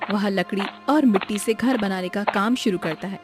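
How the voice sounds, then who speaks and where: A woman's voice: medium pitch, normal speed. A woman reading a scripted moral story for children as its narrator. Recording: music behind the voice. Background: background music.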